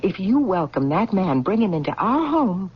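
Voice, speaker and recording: Excitable, husky society wife. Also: female voice, mid-range voice, normal speed. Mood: flattering. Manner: gushing, persuasive. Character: ambitious, excitable general's wife, socially scheming. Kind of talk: old-time radio drama acting. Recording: dull old radio recording.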